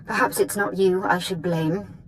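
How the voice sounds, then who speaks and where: A menacing Sith noblewoman, played by an actress in a video game, with a low voice. She is imperious, formal and threatening, over faint background ambience. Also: steady tone, normal speed, slight background noise, lightly acted, low-quality recording. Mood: haughty. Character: imperious, menacing female Sith lord, daughter of a dead tyrant.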